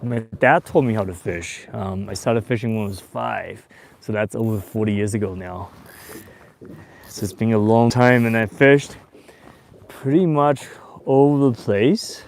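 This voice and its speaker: A man in his forties who grew up in Taiwan and Australia, hosting a casual fishing livestream from a boat on a lake in a relaxed, chatty way. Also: on the low side, a little slow, creaky. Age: 40s. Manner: relaxed and chatty, with bursts of excitement when a fish bites. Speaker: fishing show host.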